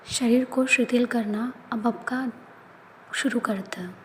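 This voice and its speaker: A woman leading a guided yoga nidra relaxation, speaking in soft, often whispered instructions. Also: a little high, fairly even intonation, takes it slowly, slight background noise. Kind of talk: guided meditation.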